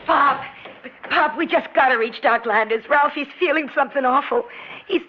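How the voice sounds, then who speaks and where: A woman's voice: sits high, normal speed, natural voice. An actress playing a frantic young mother pleading for help for her sick son in a 1950s radio drama. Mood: frantic worried. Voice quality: urgent, pleading. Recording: dull old radio recording with faint hiss.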